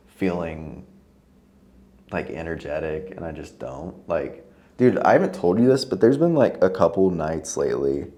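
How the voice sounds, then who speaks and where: A man's voice: deep voice, warm tone. A man of thirty-one talking candidly on a podcast, tired and losing his voice, swearing casually. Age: 30s. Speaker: podcast host.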